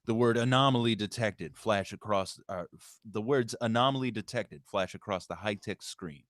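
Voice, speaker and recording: A man's voice: lowish voice, moderate pace, dry recording, clean studio sound. A man reading scripted stage directions as the narrator of a screenplay table read.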